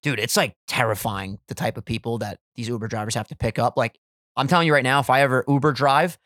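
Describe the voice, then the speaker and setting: Fast-talking casual man in his twenties. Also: medium pitch, dry recording, clean studio sound. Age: 20s. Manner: casual, profane, animated storyteller. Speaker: podcast co-host. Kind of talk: casual chat.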